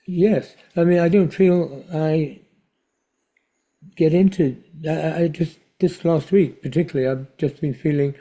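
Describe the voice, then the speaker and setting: Tentative man with a very dark voice. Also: fairly high pitch, steady tone, measured pace. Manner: tentative, searching for words. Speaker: participant answering the teacher. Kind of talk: hesitant conversational reply.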